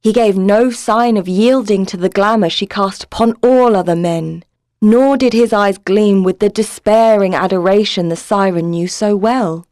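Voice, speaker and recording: A woman's voice: medium pitch, moderate pace, darkish tone, dry recording, studio quality. A woman narrator reading a scripted story in a literary storybook style.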